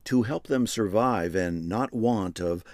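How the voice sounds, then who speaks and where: A man's voice: fairly low pitch, takes it slowly, heavy background noise. A man giving scripted narration for a regional documentary program. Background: music.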